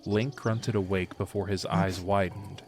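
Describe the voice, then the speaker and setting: A man's voice: low voice, little pitch movement, measured pace. A man reading scripted third-person narration for a fantasy audio drama. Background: music.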